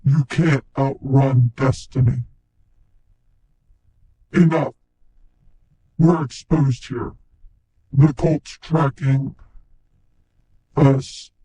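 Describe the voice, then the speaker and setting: Man acting a grim cyborg, slow, husky, dark, robotic effects, terse game-character threats. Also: fairly even intonation, no room sound, low-quality recording. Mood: grim, threatening. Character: grim menacing male cyborg battle character. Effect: robotic cyborg pitch and chorus effects. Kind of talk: scripted game character lines.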